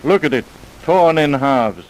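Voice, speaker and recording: An actor playing a thoughtful laboratory worker who speaks in a measured, musing way in an old radio drama. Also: male voice, medium pitch, lively intonation, lightly acted, poor audio. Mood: puzzled, thoughtful.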